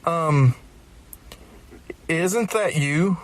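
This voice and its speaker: A man's voice: on the high side, extremely slow, very noisy. A man co-hosting a podcast, reading a scripted promo with bantering turns. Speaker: podcast co-host. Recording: music bed underneath.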